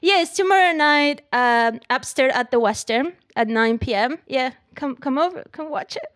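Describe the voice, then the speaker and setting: Young woman, high voice, non-native English speaker. Comedian guest, informal, self-deprecating, giggly. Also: lively intonation, moderate pace, fairly bright tone. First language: not English, grew up outside the UK. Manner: giggly, self-deprecating, laughs through speech. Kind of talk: casual interview chat.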